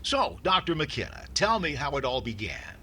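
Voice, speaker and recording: An actor playing a self-important veteran police chief inspector, narrating a radio detective parody in a formal, deadpan way. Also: male voice, a little high, normal speed, a little hiss or hum. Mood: pompous, deadpan.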